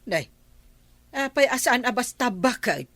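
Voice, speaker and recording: An actress playing a confiding, self-assured village gossip in an Ilocano radio comedy drama, with an Ilocano accent. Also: female voice, on the high side, sing-song, measured pace, fairly bright tone, no echo, full character voice. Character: gossiping village woman, confiding and sure of herself.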